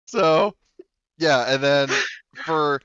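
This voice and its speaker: A man's voice: fairly high pitch, drawn-out words, a bit bright, smooth, dry recording, dull audio. A man acting as game master, casually narrating and ruling on a tabletop roleplaying game with jokey profanity.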